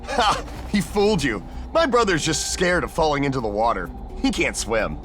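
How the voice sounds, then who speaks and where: An excitable young animal plumber from a video game, chatty and exuberant. The voice is high and swings wildly up and down, with light music behind it. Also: male voice, normal speed. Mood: teasing.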